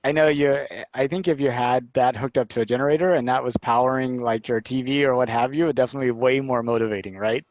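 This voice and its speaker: A man's voice: mid-range voice, fairly even intonation, fast, hardly any pauses, dry recording. A man interviewing a guest in a friendly, joking way, with hesitant starts. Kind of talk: casual video-call interview. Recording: dry, dull lo-fi audio.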